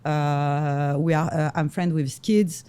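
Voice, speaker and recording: Mellow-voiced woman telling lively stories. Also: low-pitched, unhurried, clean tone. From Morocco. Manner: animated, acts out quoted dialogue, laughs often. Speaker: luxury real estate broker. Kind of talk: casual interview storytelling.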